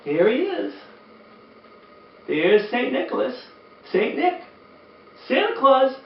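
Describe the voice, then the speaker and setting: An older man with a high voice talks to young children as a storytime host. His delivery is unhurried and expressive, leaning on key words. The sound is roomy and a little dull. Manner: animated, expressive, drawn-out emphasis. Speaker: children's storytime host and reader, former teacher. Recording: roomy, distant, dull sound with faint noise.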